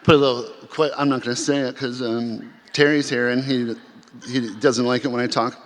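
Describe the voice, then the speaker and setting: A man's voice: medium pitch, fairly even intonation, normal speed. A man speaking to a symposium audience, telling a personal story in a conversational way with frequent restarts and fillers. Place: large chapel. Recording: long hall reverb.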